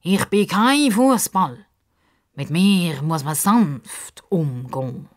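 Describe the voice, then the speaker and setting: Woman putting on a pompous, slow-talking male crocodile. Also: fairly low pitch, lively intonation. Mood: haughty, boastful. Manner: pompous, drawn-out, over-polite formal speech.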